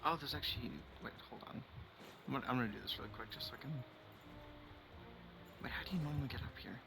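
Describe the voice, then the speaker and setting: A man's voice: mid-range voice, fast, creaky, music in the background. A man giving casual gameplay commentary, thinking aloud as he plays. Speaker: game streamer. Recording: game ambience behind the voice.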